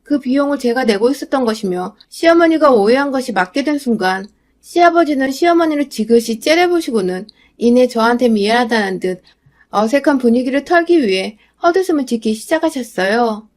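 A young adult woman reading a scripted first-person radio story in a steady, confiding way. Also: fairly high pitch, fairly quick.

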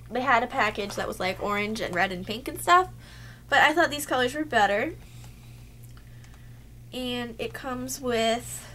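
A woman's voice: fairly high pitch, fairly bright tone, a little hiss or hum. A young woman chatting casually to her webcam in her bedroom, a little sick and losing her voice, full of "like" fillers. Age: young adult. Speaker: beauty vlogger. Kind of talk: casual haul chat to camera. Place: cluttered bedroom, indoors.

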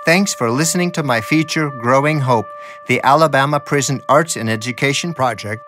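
A man's voice: medium pitch, a little fast, fairly bright tone. A man hosting a documentary with scripted narration. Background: music.

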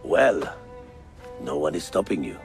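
Deep gruff Ottoman navigator. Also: sing-song, a little slow, faint music behind, full character voice. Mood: proud, deliberate. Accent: Turkish. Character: gruff, proud Ottoman navigator and bomb merchant. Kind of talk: cinematic video game acting.

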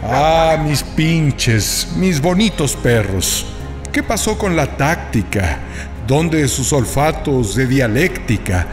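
A man's voice: big pitch swings, slow pace. A man giving a dramatic, declamatory reading of a literary speech. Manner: declamatory, rhetorical, shifting pitch for emphasis. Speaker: reader. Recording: reading over music.

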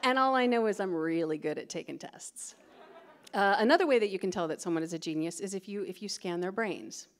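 A middle-aged American woman performs stand-up into a stand mic on a theatre stage. She talks in a chatty, giggly, self-deprecating way, with a pitch that sits in the middle for a woman. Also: a little fast. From California. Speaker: stand-up comedian. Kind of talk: stand-up comedy set. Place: theatre stage before a live audience. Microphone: stand microphone.

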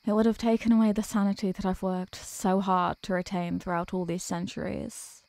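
A woman acting as a devoted, centuries-old vampire girlfriend in an intimate ASMR roleplay, speaking softly and formally in a caressing, protective way. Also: medium pitch, little pitch movement, creaky, dry recording, lightly acted. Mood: grateful, loving. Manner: soft, slow, formal, caressing delivery. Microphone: close microphone.

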